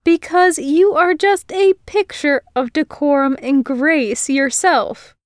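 A woman's voice: high-pitched, very animated, a little slow, no room sound, pitched above the performer's own voice. A woman voicing a bold young human girl who teases with smug, dry wit. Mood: teasing.

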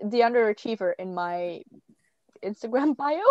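A woman's voice: on the high side, animated, mellow, dry recording, dull audio. A teenage girl in casual, teasing chat with a friend, giggling often. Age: teens. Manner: giggly, teasing, quick banter. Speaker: co-host.

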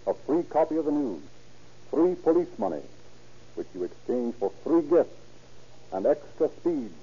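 A man's voice: a little high, sing-song, measured pace, warm tone, breathy edge. A man reading scripted narration as a 1930s radio announcer, with a formal, dramatic delivery. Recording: muffled 1930s radio recording.

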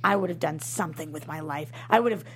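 A woman's voice: low-pitched, fast talker, slight background noise, natural voice. A woman playing a brash, foul-mouthed suburban wife at breaking point in a comedy table read. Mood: bitter, furious.

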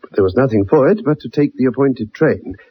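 Earnest young army chap telling his tale, bit raspy, dramatic, muffled old time radio. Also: medium pitch, animated, a little fast. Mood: earnest recounting. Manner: earnest, formal, dramatic storytelling. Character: earnest, dogged young ex-soldier telling a detective his story. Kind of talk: 1960s radio drama acting.

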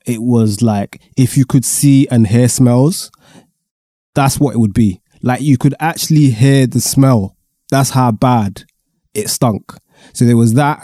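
A man's voice: medium pitch, warm tone. Stuffy-nosed man hosting a podcast. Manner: chatty and rambling, with many fillers. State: stuffy-nosed and husky from a cold. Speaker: podcast host. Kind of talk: casual solo podcast monologue.

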